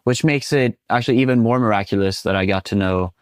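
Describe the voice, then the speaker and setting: A young Swedish man with a fairly low voice talks in a relaxed, chatty way, full of "you know"s. His voice is close and dry, with no room sound at all. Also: quick pace, smooth, no echo. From Sweden. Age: 20s. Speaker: guest. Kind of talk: casual interview.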